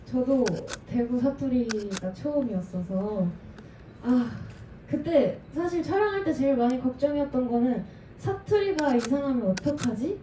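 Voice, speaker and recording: Higher-voiced actress, distant and echoey. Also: female voice, expressive, moderate pace, dark tone. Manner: reflective, careful. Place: cinema auditorium. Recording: distant, roomy hall with noise.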